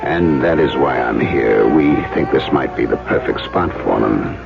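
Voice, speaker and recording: An actor playing a grave detective in a radio drama, speaking confidentially and matter-of-factly. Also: male voice, extremely low pitch, lively intonation, measured pace, vocal fry, music in the background, full character voice. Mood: grave, confiding. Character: grave male detective working undercover. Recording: muffled radio broadcast.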